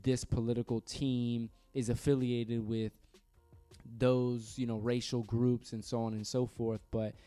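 A man from New York in casual podcast talk, his voice warm, mellow and smooth. He speaks in a relaxed, conversational way and hedges his opinions as he goes. He sits in a room across from his co-host. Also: mid-range voice, measured pace, soft background music.

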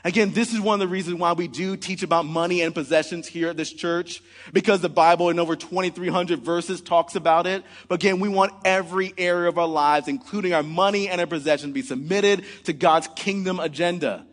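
Earnest forties pastor preaching in a hall. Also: male voice, high voice, fairly even intonation, brisk. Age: 40s. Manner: earnest preaching, often starting with "man" and "look". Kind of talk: sermon.

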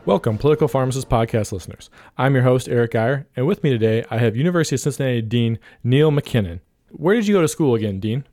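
A man's voice: medium pitch, animated, quick pace, darkish tone, no echo. A man hosting a podcast interview in a casual, affirming, conversational way. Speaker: podcast host.

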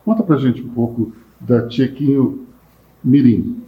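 A man's voice: medium pitch, animated, a little slow. A man hosting a panel interview, telling a joking anecdote. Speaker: host and interviewer. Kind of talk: casual interview chat. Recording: roomy, dull sound.

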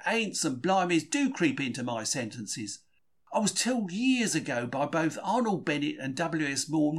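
A man's voice: on the high side, a bit bright. A man reading a diary as a quaint, chatty, plain-spoken 1940s Cockney bookseller. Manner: colloquial, self-regarding, plain-spoken, exclamatory. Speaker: reader of a diary. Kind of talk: dramatised first-person diary reading.

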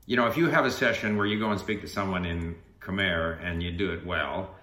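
A man's voice: low voice, a little fast, bright, forceful tone, roomy sound. An older man talking casually in conversation about his own experience. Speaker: language learner and YouTuber.

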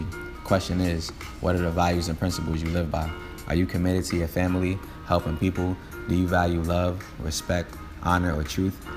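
A man giving a steady, declamatory spoken-word speech with a repeated refrain. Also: low voice. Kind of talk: recorded spoken-word speech over music. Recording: speech over loud music bed.

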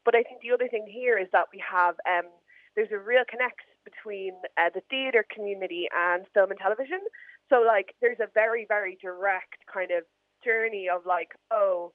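A woman's voice: medium pitch, normal speed, no room sound. A woman giving a casual interview as a guest, talking informally with plenty of "like". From Ireland. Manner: informal, frequent "like". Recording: narrow phone-like remote line.

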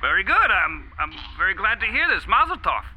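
An actor playing a placating, wheeling-and-dealing showbiz executive who hedges in clipped replies in an audio drama. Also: male voice, sits high, very animated, brisk, sharp tone, slight background noise, heavily acted, poor audio. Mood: placating, harried. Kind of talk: audio drama phone conversation.

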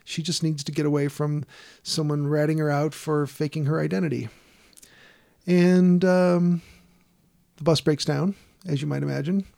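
A man's voice: a little high, flat delivery, normal speed, gravelly, mellow. A man casually recapping a horror film on a podcast, rambling and wry, with frequent fillers and laughs. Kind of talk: casual comedic chat.

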